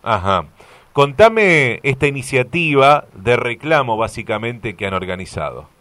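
A man's voice: medium pitch, sing-song, unhurried, heavy background noise. A man with an Argentine Spanish accent hosting a radio show and asking a caller questions in a friendly, conversational way. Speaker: radio host. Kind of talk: radio interview. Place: radio studio.

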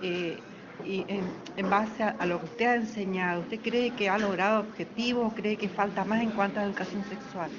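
A woman's voice: mid-range voice, fairly even intonation, normal speed, noisy background. A woman conducts an informal interview, putting questions politely and a little hesitantly. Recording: dull lo-fi, crowd chatter behind.

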